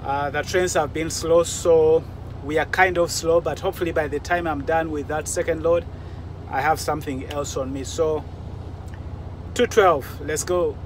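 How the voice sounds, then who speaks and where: A man's voice: on the high side, fairly even intonation, moderate pace. A man, around his forties, talking casually and explaining things to viewers like a vlogging driver. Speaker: truck driver vlogger giving advice. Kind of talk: casual vlog talk to viewers. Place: mostly inside a truck cab, some outdoors. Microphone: phone or camera at arm's length. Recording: noisy handheld recording with vehicle ambience.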